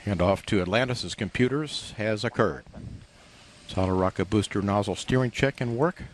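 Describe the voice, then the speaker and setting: A man's voice: lowish voice, normal speed. A man making a live launch countdown announcement in a clipped, formal style. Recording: noisy launch broadcast audio with hiss.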